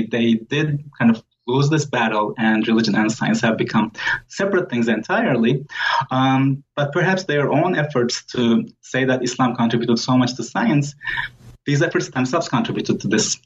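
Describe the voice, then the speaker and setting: A man speaking as a scholarly interview guest, explaining things in a thoughtful, measured way with pauses. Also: medium pitch. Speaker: guest author and historian. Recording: dull lo-fi sound, faint background noise.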